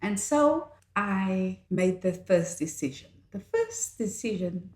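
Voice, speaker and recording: An older woman from South Africa, a native Afrikaans speaker with a South African accent, reflecting on her own life in a measured, earnest way. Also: medium pitch, lively intonation, darkish tone. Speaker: author and podcast host. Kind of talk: reflective personal monologue. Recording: echoey room.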